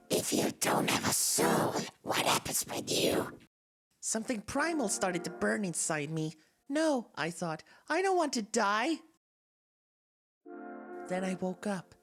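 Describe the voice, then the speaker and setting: Soulless talking flower for a game fan dub, played by a woman: theatrical, weary yet menacing, animated delivery over soft music. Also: mid-range voice. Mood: menacing whisper. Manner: confiding, theatrical, swinging between wistful and menacing. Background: game soundtrack music.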